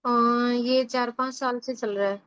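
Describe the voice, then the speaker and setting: A woman in her twenties answers a doctor's questions politely, a bit hesitantly, and lists her symptoms one by one. Her voice is high and smooth. The call audio is dry and muffled. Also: steady tone, unhurried, no echo. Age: 20s. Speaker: patient, recent Ayurveda graduate. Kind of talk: casual consultation answers. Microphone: video call.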